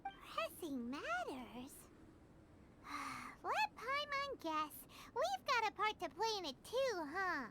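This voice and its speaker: A woman voicing a small, cheeky, excitable childlike fairy companion in an anime video game, with a squeaky voice. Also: slow pace, heavy background noise, full character voice. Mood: puzzled. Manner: refers to self in third person, exclamatory. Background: game music.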